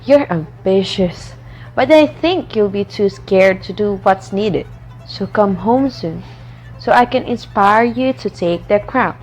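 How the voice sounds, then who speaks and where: A woman playing an ambitious, ruthless noblewoman in a radio drama, deliberate and commanding in delivery. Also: medium pitch, expressive, faint music behind. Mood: goading, coaxing. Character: Lady Macbeth, ambitious, ruthless scheming noblewoman wife.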